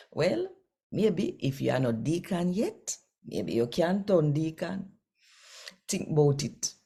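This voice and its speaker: A woman's voice: low voice, sing-song, slow pace, creaky, pauses often, husky. A woman with a Jamaican accent, reading scripture aloud and chatting to her viewers in a folksy, conversational way. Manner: warm, folksy, conversational Creole phrasing. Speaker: scripture reader. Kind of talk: daily scripture reading and casual address.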